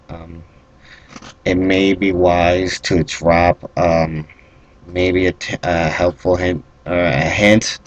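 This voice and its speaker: A man with a very deep voice improvises as a fantasy lord giving orders. He speaks slowly and deliberately, with halting, measured phrasing. His pitch moves very little, keeping the delivery flat. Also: rough recording. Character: stern kingdom lord commanding armored Templars. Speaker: role-player. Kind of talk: improvised in-character fantasy roleplay monologue.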